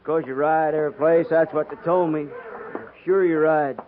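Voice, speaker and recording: Male actor as cavalry grunt, American, needling and folksy, higher-pitched, 1950s radio Western with thin, noisy sound. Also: fairly even intonation, moderate pace, narrow, tinny audio, lightly acted. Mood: grumbling. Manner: folksy, griping, needling drawl. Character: grumbling, taunting cavalry trooper of the old West.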